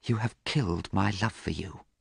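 A man voicing a proud young gentleman, bitter and wounded in love, in a storybook reading. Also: fairly low pitch, animated, moderate pace, no echo, clean studio sound, pitched above the performer's own voice. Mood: cold anger.

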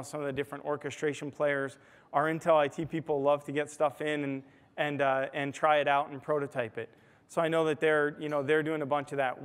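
A man's voice: fairly high pitch, clean tone. An American man giving a conversational conference keynote, explaining points and often checking in with "right?", recorded on a lapel mic in a large ballroom. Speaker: technology executive giving a keynote. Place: large hotel ballroom stage, indoors. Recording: reverberant hall, amplified stage sound.

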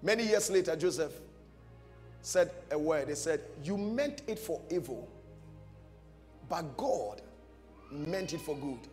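Guy preaching, kinda high voice, big echoey church with music behind, very dramatic and emphatic. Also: expressive, a little slow, long pauses, a little mellow. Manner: emphatic, repeats short phrases, acts out dialogue. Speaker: pastor preaching. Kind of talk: dramatic storytelling sermon. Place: large church stage before a seated audience. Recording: music behind, long hall reverb.

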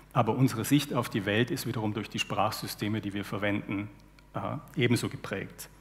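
Gravelly-voiced man speaking in a hall. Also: lowish voice. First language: German. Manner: thoughtful, explanatory, dry irony. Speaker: literary translator on a panel. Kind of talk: panel talk, lecture-like. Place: large hall with audience, stage panel. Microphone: headset mic. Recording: long hall reverb.

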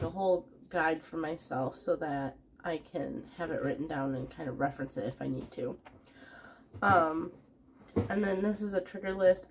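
Young woman, fighting off a cold, chatty home vlog, lots of ums, lower-pitched, faint room hum behind. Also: darkish tone. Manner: chatty, many ums and restarts. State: getting over a cold. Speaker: planner vlogger. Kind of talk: casual planner flip-through. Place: home, at a desk.

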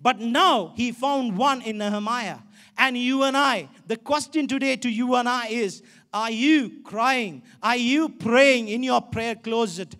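A male pastor with an Indian accent delivers a sermon in a strikingly high-pitched voice. He is emphatic and exhorting, and he repeats key phrases to press them home. He speaks into a handheld microphone. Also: unhurried, pushed and bright. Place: large stage in an indoor auditorium.

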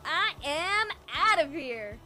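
A woman's voice: high-pitched, big pitch swings, slow, sharp tone, exaggerated voice. A woman voicing a flamboyant, mischievous, theatrical trickster creature in a fan cartoon. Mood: playful showboating. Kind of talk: fan animated cartoon dialogue. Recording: music under the dialogue.

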